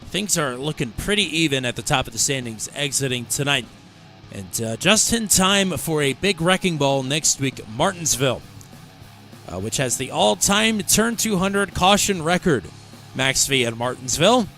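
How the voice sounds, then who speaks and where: A man's voice: a little high, lively intonation, moderate pace, pushed and bright, faint music behind. A man hosting racing commentary, upbeat, while sick and losing his voice. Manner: upbeat, rattles off schedule. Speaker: race commentator host. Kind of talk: sim racing broadcast commentary.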